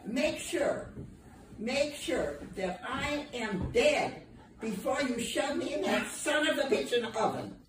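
A very old woman, in her nineties, talks in a high voice that swoops up and down. She is blunt and salty, cursing her way through everyday chat. The sound is a roomy phone recording from a living room. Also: animated, takes it slowly. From United States. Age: mid-nineties. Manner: feisty, blunt, swears freely. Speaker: grandmother filmed for family social clips. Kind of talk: casual home chat. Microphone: phone camera a few feet away. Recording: roomy, echoing home phone video.

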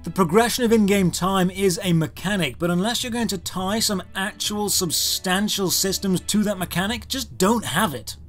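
A man's voice: high-pitched, moderate pace, few pauses, a bit bright. A man giving scripted, comedic game review narration in a sardonic, exasperated manner. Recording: music behind the voice. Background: background music.

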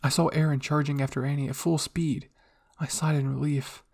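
A man reading fan fiction aloud as dramatic first-person story narration, moving between hushed and intense delivery. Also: fairly high pitch, flat delivery, normal speed, vocal fry. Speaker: audio fan fiction reader.

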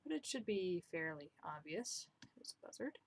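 A young woman casually commenting on her own drawing as she works, chatty and self-deprecating, with quiet muttered asides, recorded at home on a desk mic. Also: on the low side, very animated, moderate pace, creaky. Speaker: drawing vlogger. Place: home room, indoors.

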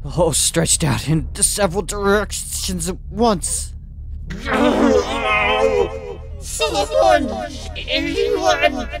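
A man's voice: sits high, sing-song, takes it slowly, vocal fry, faint music behind, exaggerated voice. A man voicing a haunted, anguished young man narrating his nightmare in a horror manga dub. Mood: rising horror, anguish. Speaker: voice actor and narrator.

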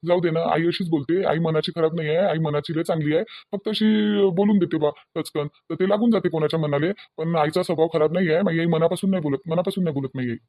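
A man's voice: on the high side, quick pace, hardly any pauses, a little mellow, lightly acted. A man with a Varhadi Marathi accent playing a conciliatory grown family man in a cartoon drama, coaxing and reasoning with repeated phrases. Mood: pleading.

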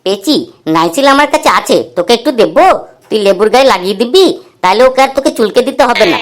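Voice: mid-range voice, animated, fast talker, lightly acted. A performer voicing cheeky, playful schoolboys in a comedy cartoon. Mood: teasing.

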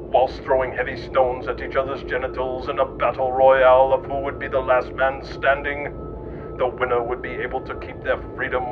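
A man reading scripted comedic mock history as a narrator, in a theatrical, mock-pompous manner. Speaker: narrator and writer. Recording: muffled with clear rumbling noise.

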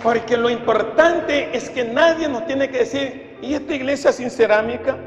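An older man delivers an impassioned sermon in a very high voice. He is emphatic, repeating phrases and posing rhetorical questions. The sound comes through a handheld mic in a reverberant church hall, with music clearly present behind him. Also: moderate pace. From Ecuador. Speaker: pastor. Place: large church hall, indoors, before a congregation. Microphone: handheld microphone through PA.

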